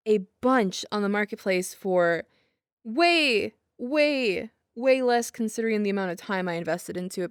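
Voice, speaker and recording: A woman's voice: medium pitch, fairly bright tone, clean tone, dry recording, studio quality. A young woman in an intimate roleplay monologue, rambling casually as a girlfriend in a giggly, hesitant, self-deprecating way. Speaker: audio roleplay performer as girlfriend.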